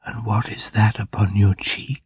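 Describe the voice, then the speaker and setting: A solemn, ancient spirit from a storybook, as a man acts it. The voice is soft, low and husky, gentle yet commanding. It moves slowly and with measured weight. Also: little pitch movement, no room sound, clean studio sound.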